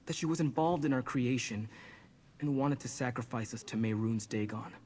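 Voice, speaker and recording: A man's voice: mid-range voice, normal speed, darkish tone, lightly acted. An actor playing an earnest, apologetic young man burdened with guilt in a video game. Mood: grim, thoughtful.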